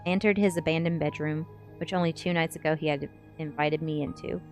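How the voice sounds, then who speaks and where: A woman reading a first-person story aloud in a measured ASMR narration style. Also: low-pitched, steady tone, darkish tone, soft background music. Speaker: streamer reading a novel aloud.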